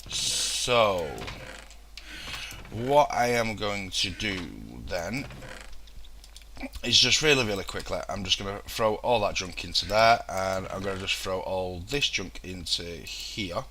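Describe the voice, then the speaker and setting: A young man talks over his game in a deep voice with a bright, sharp edge, full of ums and false starts. A throat infection is coming on. Faint room noise sits under his desk mic. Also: takes it slowly. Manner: rambling, many ums and restarts. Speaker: let's play host. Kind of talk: casual gameplay commentary.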